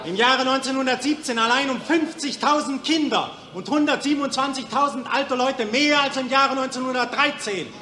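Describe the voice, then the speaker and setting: A man's voice: extremely high pitch, moderate pace, few pauses, sharp tone. A man acting a bitter, defiant mental patient who denounces and accuses in 1940s radio play style. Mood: bitter, prophetic. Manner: biting, accusatory, declamatory. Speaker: actor. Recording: 1940s radio recording, echoey room.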